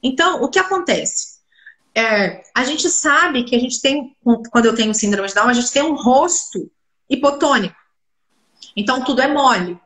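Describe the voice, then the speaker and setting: A woman's voice: high voice, expressive, normal speed, fairly bright tone. A woman speech therapist, congested a cold, explaining things in an interview and answering her own rhetorical questions. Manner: asks rhetorical questions and answers them herself. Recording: roomy echoey room sound.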